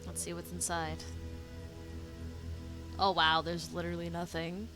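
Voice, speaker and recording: A woman's voice: fairly low pitch, level tone, a little slow, music in the background, poor audio. A woman streamer giving a casual live reaction to a video game in informal, sweary language.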